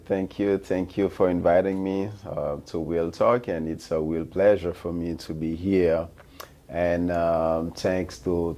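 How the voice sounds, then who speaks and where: A man with a Haitian accent speaks as a physician guest. His voice is very deep and his pace is slow. He pauses often and fills the gaps with ums. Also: dark tone. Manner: hesitant, many ums, halting. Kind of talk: hesitant interview answers.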